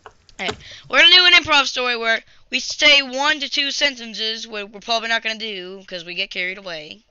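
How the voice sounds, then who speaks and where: A young gamer hosting a casual video, chatting and improvising a story in a halting, rambling way. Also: mid-range voice, bright, forceful tone, slight background noise. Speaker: video host and player. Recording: dull lo-fi webcam-grade audio.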